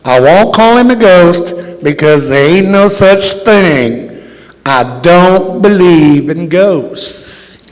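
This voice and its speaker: Man playing a high-voiced folksy American dad, echoey hall. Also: expressive, slow pace. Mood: indignant, stubborn. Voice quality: folksy, ungrammatical rural speech. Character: blunt folksy American father, stubborn skeptic. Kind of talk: old-time radio stage acting. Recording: echoey hall, stage performance.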